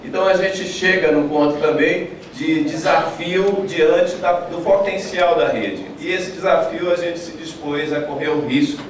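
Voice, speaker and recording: A man with a Brazilian Portuguese accent giving a steady, explanatory conference presentation. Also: fairly high pitch, unhurried, hardly any pauses, slight background noise. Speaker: panel speaker. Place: conference hall stage. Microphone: table mic on stand. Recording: echoey hall, distant mic.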